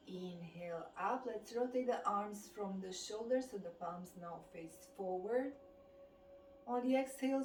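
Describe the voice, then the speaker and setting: A woman with a Romanian accent leads a guided exercise class as the instructor, giving measured movement and breathing cues. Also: mid-range voice, darkish tone. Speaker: fitness and qigong instructor. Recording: distant mic, echoey room, background noise.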